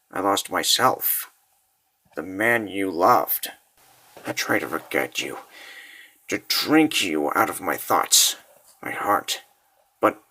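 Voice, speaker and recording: A man performs a brooding warrior haunted by lost love in a dramatic comic dub. His delivery is solemn and halting, at a slow pace with a forceful edge. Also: sits low, full character voice. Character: Garrison, brooding grief-stricken swordsman haunted by lost love.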